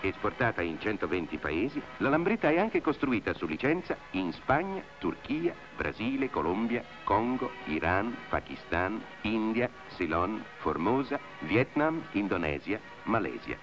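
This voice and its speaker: A man's voice: lowish voice, big pitch swings, unhurried, soft background music. A man reading stately scripted narration for an old industrial documentary. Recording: dull vintage film soundtrack.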